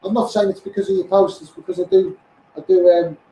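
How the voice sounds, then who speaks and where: A man's voice: high-pitched, fairly even intonation, brisk, dark tone. A man with a Scouse accent on a rambling, impassioned livestream rant, insistently addressing viewers and repeating himself. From Liverpool area, England. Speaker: online campaigner livestreaming. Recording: echoey room, distant microphone.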